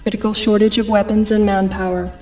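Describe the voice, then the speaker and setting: A woman voicing a calm, impersonal military computer assistant in a video game, with precise announcing. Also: medium pitch, fast talker, recorded from a distance, soft background music, lightly acted.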